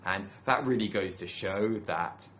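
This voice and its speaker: A man speaks in a low voice that still sounds bright and clear. He is a teacher giving a calm, reflective talk, pacing his thoughts with measured pauses. A faint hiss sits under the recording. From United Kingdom. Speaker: teacher and channel host. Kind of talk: reflective solo talk to camera.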